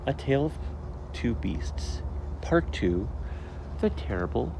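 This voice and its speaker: A man reading a picture book aloud to children in an animated, theatrical way with deliberate phrasing, as a storyteller. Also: medium pitch, gravelly. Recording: steady background noise.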